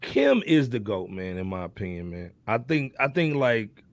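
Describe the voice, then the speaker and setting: A man co-hosting a hip hop podcast in loose, animated casual talk, often tagging on "man" and "you know what I'm saying". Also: lowish voice, normal speed, dry recording. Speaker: podcast co-host.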